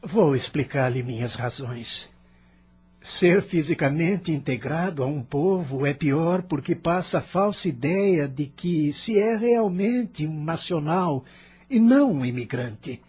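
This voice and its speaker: A man's voice: fairly high pitch, expressive, takes it slowly, a little mellow, slightly rough, heavily acted. An actor with a Brazilian accent playing an embittered, stubborn immigrant man who argues with emphatic self-pity in an audio novel. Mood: resentful arguing. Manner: argumentative, self-pitying, emphatic. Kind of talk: dramatized audio novel dialogue.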